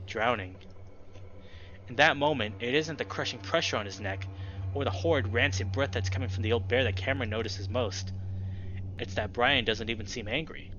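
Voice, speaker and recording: Young guy narrating a visual novel for his channel, bright forceful voice, kinda dull noisy recording. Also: mid-range voice. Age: young adult. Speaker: let's play host. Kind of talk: reading a visual novel aloud with dramatic narration. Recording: dull lo-fi audio with background noise.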